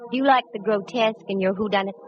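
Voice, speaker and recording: Actress as hard-boiled lady gumshoe, cool and quick with a quip; 1940s radio acting, muffled vintage sound. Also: medium pitch, close to an everyday voice. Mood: wry narration. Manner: wry, brisk, hard-boiled wisecracks. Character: sharp-witted, wisecracking female private eye, cool and mercenary. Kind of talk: 1940s-50s radio detective acting and narration. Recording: dull vintage radio recording with music.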